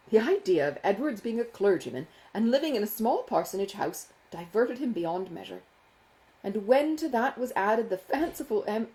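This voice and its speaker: A woman reading a classic novel as an audiobook, expressive and carefully phrased in a measured literary manner. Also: mid-range voice, rough recording. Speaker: audiobook narrator, author.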